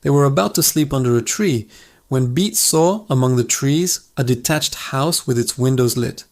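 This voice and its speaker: A man reading a children's fable aloud in calm, even scripted narration. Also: mid-range voice, normal speed.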